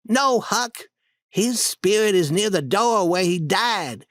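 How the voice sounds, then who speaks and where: A reader putting on a bold young boy adventurer, pitched well up and bursting with insistent excitement. He talks in lively, swooping lines, with a dry, close sound in a storybook reading. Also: slow pace, no echo. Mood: eager.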